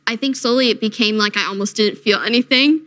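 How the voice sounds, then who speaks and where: Teen girl thinking out loud on a panel, bright voice, says like a lot. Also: a little high, fast. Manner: reflective, many likes. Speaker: panel guest. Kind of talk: casual chat.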